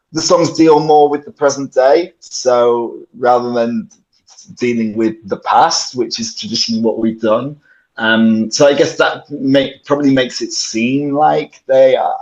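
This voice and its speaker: A man's voice: mid-range voice, a little mellow. A man giving casual interview answers as a band's singer. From Yorkshire, England. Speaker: band singer and songwriter. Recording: dull lo-fi call audio.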